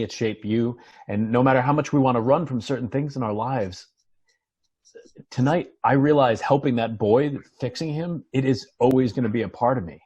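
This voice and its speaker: A man reading the part of a troubled, earnest former doctor in a dramatic table read, halting and reflective. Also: mid-range voice, darkish tone, breathy edge, highs cut off, close to an everyday voice. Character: troubled, earnest male former doctor seeking a fresh start.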